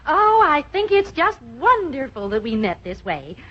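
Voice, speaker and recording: A woman's voice: high-pitched, very animated, a little slow, a bit bright, exaggerated voice. An actress playing a wry, man-hungry older aunt in a vintage radio comedy, emphatic and wisecracking. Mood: eager, sociable. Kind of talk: 1930s-40s radio comedy acting. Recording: dull, noisy vintage radio broadcast recording.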